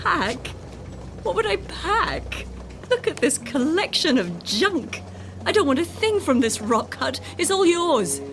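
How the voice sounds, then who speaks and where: Fed up sarcastic wife in a radio play, high voice, needling, music under it. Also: female voice, sing-song, takes it slowly, a bit bright, full character voice. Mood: scornful, impatient. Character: sardonic, demanding, discontented wife stuck on an island. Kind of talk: radio drama acting.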